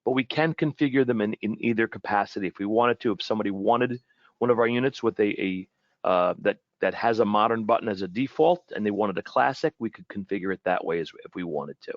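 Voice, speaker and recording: A man giving an informal webinar product presentation in a halting way, often repeating and rephrasing his words. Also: on the low side, no room sound, highs cut off.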